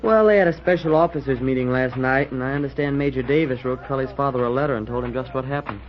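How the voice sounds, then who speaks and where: An actor playing a loyal, talkative schoolboy chum in 1940s radio style, frank, concerned and boyishly slangy. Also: male voice, mid-range voice, fast talker, lightly acted. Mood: gossipy. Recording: noisy, dull old radio recording.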